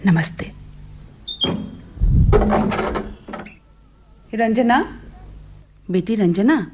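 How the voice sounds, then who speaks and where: A woman's voice: mid-range voice, animated, takes it slowly, heavy background noise. A woman acting an anxious, devoted mother in a radio play, coaxing and pleading with worry. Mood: worried. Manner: coaxing, imploring.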